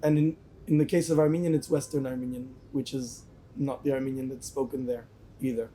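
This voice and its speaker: A man's voice: medium pitch, steady tone, fairly quick, dark tone, a little hiss or hum, poor audio. A man from a band answering interview questions thoughtfully, with frequent restarts. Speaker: band member.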